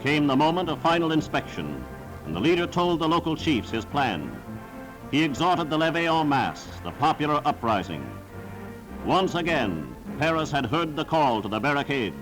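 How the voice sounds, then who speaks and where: A man's voice: on the high side, sing-song, normal speed, over music, low-quality recording. A man narrating a scripted 1940s wartime newsreel in a solemn, formal, declamatory style, on a vintage film soundtrack.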